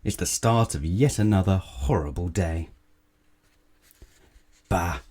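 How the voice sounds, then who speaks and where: A man's voice: low voice, takes it slowly, mellow. A man voicing a grumpy, complaining storybook character who grumbles and moans. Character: grumpy, moaning man-like storybook grump, later pleading pig.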